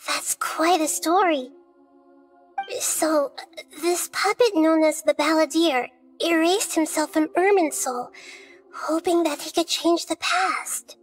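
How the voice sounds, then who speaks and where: A woman voicing a gentle child-like girl deity in a video game, speaking in a measured, articulate, reflective way. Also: extremely high pitch. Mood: thoughtful. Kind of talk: scripted game dialogue. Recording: game music behind.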